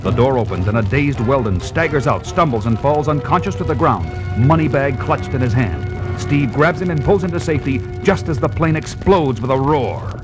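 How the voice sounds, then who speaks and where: An actor playing a dramatic adventure narrator, reading scripted story narration in an emphatic, suspenseful manner. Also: male voice, mid-range voice, expressive, moderate pace, loud music behind the voice, lightly acted, poor audio. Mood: excited, dramatic.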